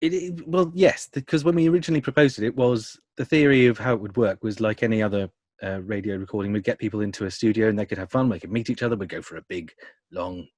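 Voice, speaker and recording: A man's voice: lowish voice, fast talker, a little mellow, dry recording. British man explaining in a dry studio sound. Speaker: radio drama adapter and producer being interviewed. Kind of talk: conversational interview answers.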